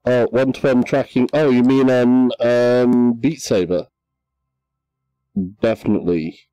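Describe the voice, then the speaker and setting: A man chatting casually on a VR game livestream, relaxed and hesitant, with many ums. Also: mid-range voice, unhurried, clear, resonant tone, no room sound, faint music behind, low-quality recording. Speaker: livestream gamer.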